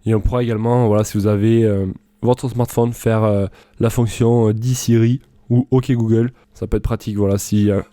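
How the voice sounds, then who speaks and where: A man's voice: fairly low pitch, little pitch movement, normal speed, warm tone, no room sound. A man presenting a product comparison in a casual, loosely improvised voice-over, explaining things informally.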